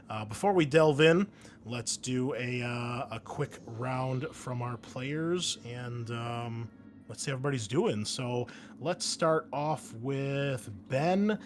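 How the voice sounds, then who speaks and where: A man hosting a tabletop game show as game master, chatting casually with joking banter and easy swearing. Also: mid-range voice. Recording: noisy background ambience.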